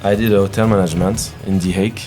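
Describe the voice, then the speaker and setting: A young man talks casually in an interview. His voice sits very low, and faint music plays behind him. He comes across as a musician chatting about his work. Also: fairly even intonation, quick pace, warm tone. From south of France. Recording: music playing behind the talk.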